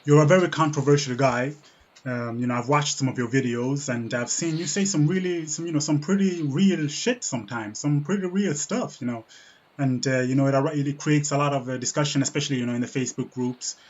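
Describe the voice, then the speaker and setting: A man hosting a casual interview, speaking informally and haltingly with many fillers. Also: mid-range voice. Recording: dull, muffled lo-fi sound.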